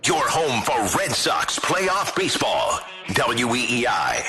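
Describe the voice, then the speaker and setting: A man's voice: mid-range voice, wildly swooping pitch, takes it slowly. A man working as a station announcer, reading a scripted radio promo. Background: music bed.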